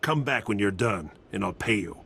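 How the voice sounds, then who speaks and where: An actor playing a shady alien bounty hunter in a video game, curt, defensive and matter-of-fact, with some background ambience. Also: male voice, fairly low pitch, expressive, normal speed, gravelly, fairly bright tone. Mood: uneasy bargaining. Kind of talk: dramatic video game acting.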